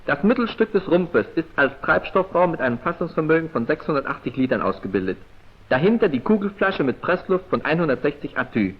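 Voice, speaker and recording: A male narrator from a 1940s training film, heard through a dull, muffled vintage soundtrack. His pitch sits fairly high and moves in lively swings, with a husky edge. He speaks in a formal, precise and clipped declamatory manner. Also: fairly quick.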